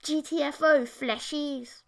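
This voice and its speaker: Give the audiobook a bitter forum dweller, a man devoted to alien girlfriends, as a woman performs him. He rants in a raised pitch, dripping contempt and sneering at everyone else. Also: fairly even intonation, takes it slowly, creaky, full character voice. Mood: ranting contempt. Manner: ranting, contemptuous. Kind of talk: audiobook character voice.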